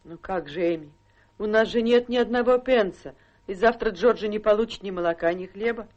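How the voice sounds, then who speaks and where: A weary elderly mother in a radio drama, acted by a woman. She speaks in a medium-pitched, lamenting voice, full of reproach and worn by poverty. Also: a little fast, darkish tone, muffled recording, natural voice. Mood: agitated. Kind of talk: Russian radio drama stage acting.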